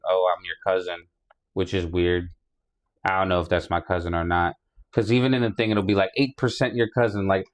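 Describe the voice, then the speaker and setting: Laid-back low-voiced man in his thirties. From New York. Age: 30s. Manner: laid-back, rambling with fillers and profanity. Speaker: guest rapper and painter. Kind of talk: casual podcast conversation.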